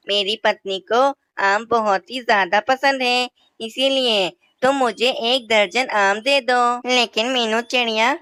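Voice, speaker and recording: A woman's voice: fairly high pitch, sharp tone, clear, resonant tone, dry recording. A woman voicing a nervous male parrot in a cartoon, worried about pleasing his wife. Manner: fretful, pleading. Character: anxious henpecked male parrot.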